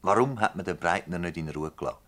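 A man's voice: sits low, animated, fast, fairly bright tone. A man playing a probing, dryly sceptical public prosecutor in a Swiss German dialect radio play, formal and ironic. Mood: musing, businesslike. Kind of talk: dialect radio drama acting.

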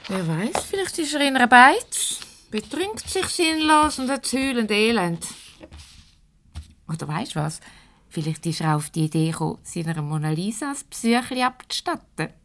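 An actress playing a gossipy, teasing office colleague with a Swiss German accent and a flair for melodrama, in 1970s radio comedy style. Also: female voice, fairly high pitch, very animated, moderate pace, fairly bright tone.

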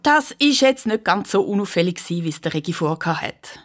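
Female narrator, Swiss German accent, animated pitch movement, playful children's storytelling, clear music behind. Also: brisk. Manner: expressive, playful.

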